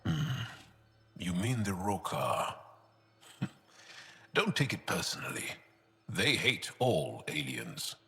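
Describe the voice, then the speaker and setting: An actor playing a gentle, earnest male alien resistance fighter in a video game, speaking in a measured, solemn, heartfelt way with a deep, gravelly voice. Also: huge pitch swings, big-room sound, heavily acted. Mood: wry, thoughtful. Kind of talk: video game cutscene acting.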